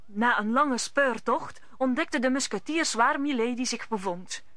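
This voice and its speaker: A woman's voice: a little high, normal speed, bright, forceful tone, no room sound. A woman narrator telling the story in an old Dutch radio drama, in scripted storytelling style.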